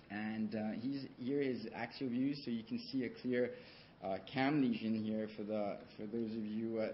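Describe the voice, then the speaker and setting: A surgeon from Switzerland speaks from a podium in a large conference hall, relaxed and chatty, full of small fillers. The sound is dull and slightly muffled, with a faint hiss behind him. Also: male voice, medium pitch. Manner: conversational, many fillers and restarts. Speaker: orthopedic surgeon. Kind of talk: conference talk with slides. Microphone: podium microphone.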